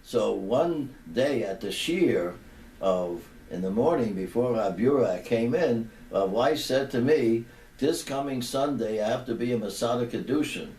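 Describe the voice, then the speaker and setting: A man's voice: medium pitch, a little mellow. Elderly man, roomy distant recording. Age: over 80. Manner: deliberate, halting phrasing with pauses. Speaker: rabbi giving a personal remembrance. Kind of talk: unhurried reminiscing tribute talk. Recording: roomy, distant microphone, background noise.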